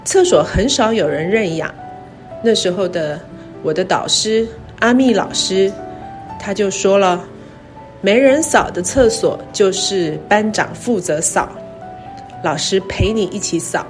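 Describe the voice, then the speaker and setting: A middle-aged woman with a Taiwanese Mandarin accent gives a scripted, reflective talk in measured, careful phrases. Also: medium pitch. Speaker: university president. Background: background music.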